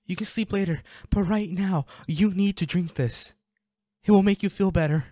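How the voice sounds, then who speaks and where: A man playing a devoted, anguished boyfriend in an intimate audio roleplay, pleading and halting with emotion. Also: high voice, mellow, no room sound, studio quality. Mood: urgent, reassuring. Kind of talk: intimate dramatic audio roleplay, one-sided dialogue.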